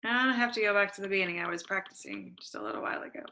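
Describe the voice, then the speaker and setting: A woman hosting an online workshop over a video call, chatty and relaxed with casual fillers. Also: mid-range voice, very fast. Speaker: workshop host.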